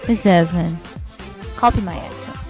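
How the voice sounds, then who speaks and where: A woman's voice: lowish voice, moderate pace, music in the background. A teenage girl from Brazil with a Brazilian Portuguese accent, casually interviewing classmates indoors with a handheld mic. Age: teens. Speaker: student reporter. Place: school hallways and offices, indoors. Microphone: handheld microphone.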